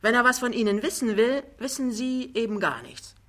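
Blustering big-man hustler played by a female reader: jovial, chummy, colloquial, fast-talking audiobook character. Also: fairly high pitch, a bit bright, a little hiss or hum, voice pushed up, close to an everyday voice. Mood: breezy, boastful. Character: big, boisterous, fast-talking male wheeler-dealer, jovial. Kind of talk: dramatized literary reading.

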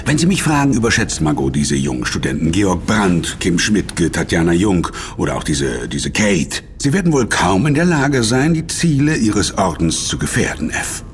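An obsequious businessman in an audio drama. His low-pitched voice swings animatedly between flattery and hesitant little stammers, always with money in mind. Music plays behind him. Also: normal speed, few pauses, slight background noise. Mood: defensive, smug. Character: obsequious, money-minded businessman bargaining with a secret order.

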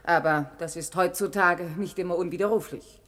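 An actress playing a measured, sober witness in a radio play, speaking with composed deliberation. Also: female voice, on the low side, fairly bright tone, close to an everyday voice. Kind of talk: German radio play acting.

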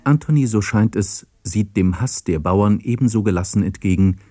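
A man reading a formal scripted story summary in a measured manner. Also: fairly low pitch, warm tone, slight background noise. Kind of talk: scripted narration.

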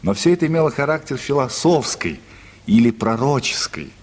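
A male narrator reads a story for a radio drama in a husky, middle-pitched voice. His delivery is animated and expressive, with a long reverberant tail around it. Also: normal speed. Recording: reverberant studio hall sound.

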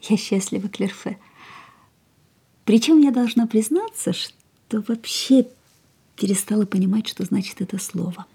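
An actress in a radio play playing an ailing, dreamy young woman who is hungry for life, speaking softly and breathily in lyrical phrases. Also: medium pitch, a little slow, long pauses, full character voice. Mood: tender, wistful. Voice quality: soft, breathy. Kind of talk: literary radio drama acting, lyrical monologue and dialogue.

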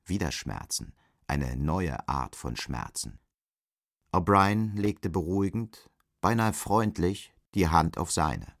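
A man's voice: low voice, expressive, takes it slowly, no room sound, studio quality. A man narrating an audio drama in scripted literary prose.

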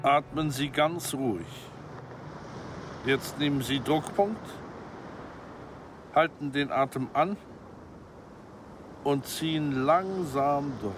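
A man's voice: on the high side, slow pace, pauses often, background noise. An actor in a radio play voicing a businesslike male arms expert and professional killer, methodical and formally polite in his instructions. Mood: calm, instructing.

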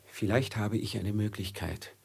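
An actor playing a dignified, reserved slave who longs to escape, in a German radio play, speaking formally with sudden urgency and a husky tone. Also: male voice, fairly low pitch, fairly even intonation, a little slow, a little mellow, exaggerated voice. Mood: excited, plotting. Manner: formal and restrained, bursting into urgency.

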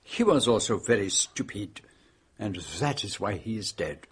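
Radio drama detective: French-accented, middle-aged, courteous and observant, slightly husky, formal and exact. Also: male voice, lowish voice, animated, moderate pace, fairly bright tone, exaggerated voice. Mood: grave, assured. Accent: French-speaking European. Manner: formal, precise phrasing. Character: polite, observant middle-aged male foreign detective, also narrating. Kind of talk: radio drama dialogue and narration.

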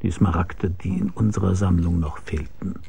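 Deep, gravelly male private eye. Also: steady tone, normal speed, dark tone, full character voice. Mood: measured. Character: weary hard-boiled male private detective narrating his case. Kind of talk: radio crime drama narration and dialogue.

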